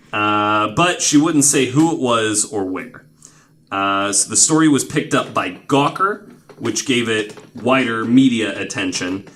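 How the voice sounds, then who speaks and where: A young man with a fairly low voice hosts a podcast in a loose, conversational storytelling style. He talks informally, swears freely and leans on "like" as he goes. There is a little noise in the recording. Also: fairly bright tone. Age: 20s. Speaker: podcast host.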